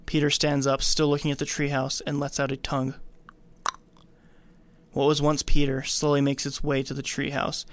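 A man's voice: fairly high pitch, completely flat delivery, normal speed. A man co-hosting a film podcast, moving between narrated scene readings and casual discussion. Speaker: podcast co-host. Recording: faint background room noise.

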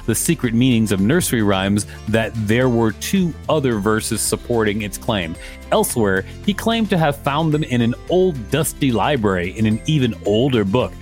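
A man with a mid-pitched voice co-hosts a podcast. He reads reference material aloud and chats casually around it, with guitar music playing behind him. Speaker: podcast co-host. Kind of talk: reading an encyclopedia article aloud with casual commentary.

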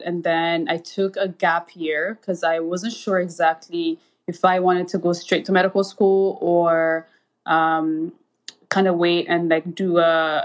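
A woman from Ethiopia, in her thirties, a guest recounting her path in a casual interview, talking with many fillers and restarts. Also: lowish voice. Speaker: guest, anesthesiologist.

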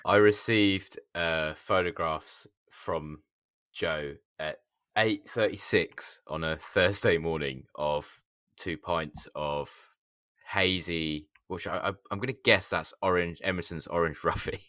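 A man with a hoarse, gravelly voice, co-hosting a podcast in casual chat, laughing a lot and stumbling over his words. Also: low-pitched, steady tone, slow pace, a bit bright, no room sound. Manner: laughs often, stammering restarts. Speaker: podcast co-host.

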